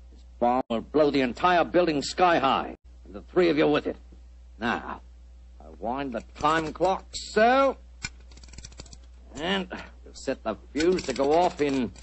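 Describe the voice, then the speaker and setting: An actor playing a gloating, menacing ringleader of assassins in an old radio drama, sneering and taunting with mock politeness. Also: male voice, a little high, sing-song, measured pace, slightly rough, full character voice, low-quality recording. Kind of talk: 1940s radio drama acting. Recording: old-time radio broadcast recording.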